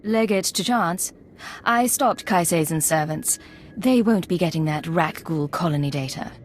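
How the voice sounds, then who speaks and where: A woman playing a composed undercover Imperial agent in a video game, measured and businesslike. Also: fairly low pitch, a little hiss or hum, natural voice. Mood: focused.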